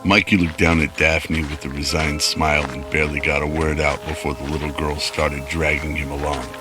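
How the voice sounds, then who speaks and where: Very deep male radio drama narrator. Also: flat delivery, normal speed, music in the background.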